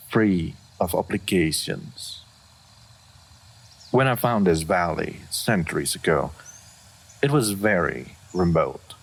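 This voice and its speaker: A man playing an ancient, aloof male dragon in a fantasy roleplay audio, speaking formally and deliberately, stoic and wise, with a gravelly, husky voice. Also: low voice, heavily acted. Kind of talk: roleplay audio monologue, measured fantasy acting.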